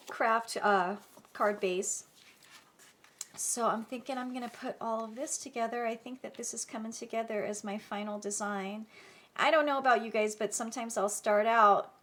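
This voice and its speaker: Chatty woman with a stuffy head cold. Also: medium pitch. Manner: chatty, self-correcting, clears throat often. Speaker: card-making vlogger. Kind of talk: casual crafting tutorial.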